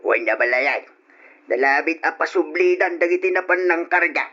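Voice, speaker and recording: A man's voice: a little high, moderate pace, pushed and bright. An actor playing a jovial, teasing male relative in an old Ilocano radio drama, drawling his words. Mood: jovial, chummy. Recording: muffled lo-fi old radio recording with music.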